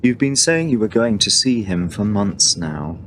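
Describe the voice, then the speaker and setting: A man's voice: animated, unhurried. A man playing a patient, persuasive father in a radio play, reasoning with his child. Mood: patient, coaxing. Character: patient, coaxing middle-aged father persuading his daughter. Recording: loud rumbling vehicle noise behind.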